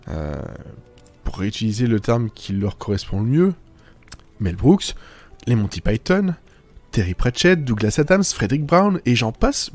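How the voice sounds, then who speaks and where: A male humour podcaster reads his scripted narration with wry self-mockery. His pitch is average but moves around a great deal, giving very animated phrasing. Light music plays behind the voice.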